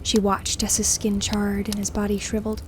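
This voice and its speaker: A woman narrating scripted fiction in an expressive, suspenseful, dramatic way. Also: medium pitch, normal speed, a little hiss or hum. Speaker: story narrator.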